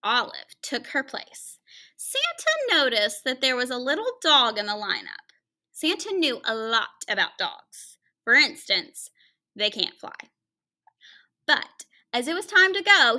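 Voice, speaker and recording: A woman reading a picture book aloud to children like a teacher, expressively, with dramatic pauses and hushed conversational asides to her listeners. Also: high voice, very animated.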